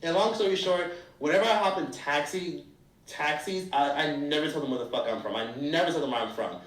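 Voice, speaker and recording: A blunt, animated man with a fairly high voice gives travel warnings. He sounds roomy and a bit away from the mic, and he slips into exaggerated voices for strangers he met. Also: fairly quick. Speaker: travel vlogger. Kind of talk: casual travel advice monologue. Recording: roomy echo from a distance.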